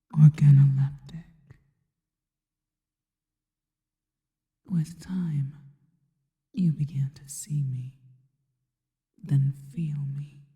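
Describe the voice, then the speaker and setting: A woman playing a seductive, sinister spider-woman in an ASMR roleplay, whispering breathily and slowly with intimate, formal, adoring deliberation. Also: low-pitched, mellow. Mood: seductive, hungry. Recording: long reverberant echo.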